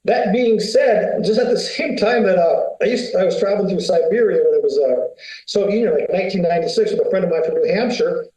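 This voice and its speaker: High-voiced man telling rambling stories. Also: fairly quick, dark tone, roomy sound, poor audio. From United States. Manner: rambling, story-telling, many fillers. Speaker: winery founder, guest. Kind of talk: casual interview talk.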